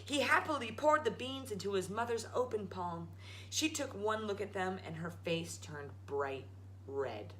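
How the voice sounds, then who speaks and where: Bright, animated woman reading a story. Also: mid-range voice, unhurried, a little hiss or hum, poor audio. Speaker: storytime host. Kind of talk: animated children's read-aloud.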